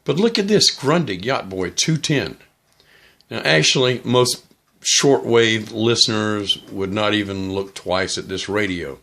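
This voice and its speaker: Gravelly low-voiced man explaining casually. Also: animated, a little slow, pushed and bright. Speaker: vlogger, shortwave radio hobbyist.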